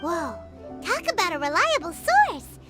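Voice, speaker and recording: A woman voicing a tiny, excitable fairy-like companion in a video game dub, chattering in quick exclamations. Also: extremely high pitch, over loud music, heavily acted. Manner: refers to herself in the third person, quick exclamations.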